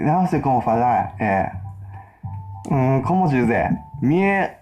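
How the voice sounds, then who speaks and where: A Japanese man speaking in halting learner's speech, searching for words as he gives a personal account, with faint music behind. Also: fairly high pitch, a little mellow. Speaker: interviewee in a played video.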